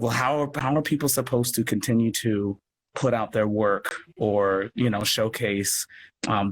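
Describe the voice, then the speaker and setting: American man chatting over noisy video call. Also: lowish voice. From United States. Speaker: guest, audio drama producer and actor. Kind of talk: casual interview chat. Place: live social media video call.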